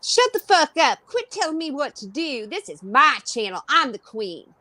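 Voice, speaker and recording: A woman's voice: sits high, sing-song, moderate pace, pushed and bright, no room sound, pitched above the performer's own voice, exaggerated voice. A woman putting on a brash self-crowned queen. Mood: bossy. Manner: brash, barking orders. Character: bossy foul-mouthed woman calling herself queen. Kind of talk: quick comic voice sample on a livestream.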